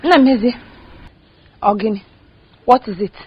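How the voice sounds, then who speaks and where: A woman playing a bitter jilted young woman who shouts through tears, in a film drama. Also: on the high side, a little slow, lo-fi sound, lightly acted. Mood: scornful.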